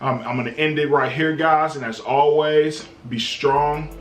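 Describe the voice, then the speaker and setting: A man's voice: a little high, steady tone, a little slow, soft background music. An American man in his thirties hosting a casual motivational interview. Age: 30s. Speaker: interviewer, video series host. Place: indoors in a house. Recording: echoey room with background noise.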